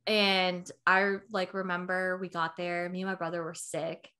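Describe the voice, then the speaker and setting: Bright-voiced chatty young woman. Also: on the low side, level tone, a little slow, smooth. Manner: chatty, heavy use of "like", acts out quoted people. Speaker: podcast co-host. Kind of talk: casual podcast chat.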